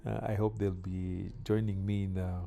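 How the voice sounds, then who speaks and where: A middle-aged man from Kenya chatting casually while doing yard work outdoors, with relaxed pauses and fillers. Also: low voice, gravelly. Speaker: vlogger.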